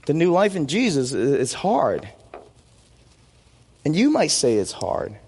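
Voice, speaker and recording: Male preacher, forties, native Vietnamese speaker, high voice, conversational sermon delivery, rhetorical questions, long hall reverb. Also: wildly swooping pitch, unhurried, darkish tone, slightly rough. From United States. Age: 40s. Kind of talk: sermon with personal anecdotes.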